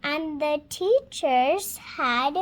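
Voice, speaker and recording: High-pitched little girl reading very slowly. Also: expressive. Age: child, six years old. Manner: hesitant, sounding out words. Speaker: young reader practicing reading aloud. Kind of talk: halting read-aloud of a children's storybook.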